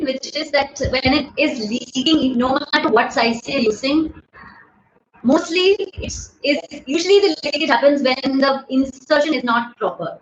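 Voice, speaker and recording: Loud, high-voiced Indian woman in an echoey room. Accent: Indian English. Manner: chatty, laughs often, thanks viewers by name. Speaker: livestream host. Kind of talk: casual live Q&A. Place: bedroom at home. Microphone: phone camera at desk distance. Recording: echoey room, dull phone audio, faint hiss.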